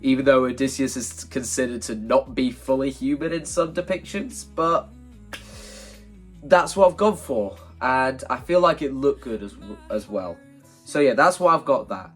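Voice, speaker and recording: A man's voice: medium pitch, moderate pace, breathy edge. A man with a mid-range voice, slightly congested from hay fever. He talks casually and modestly, rambling a little as he explains his ideas like a vlogger. Faint plucked guitar music plays behind him. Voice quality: slightly stuffy, congested nose. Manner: informal, self-deprecating, rambling. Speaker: video creator presenting a stage play concept.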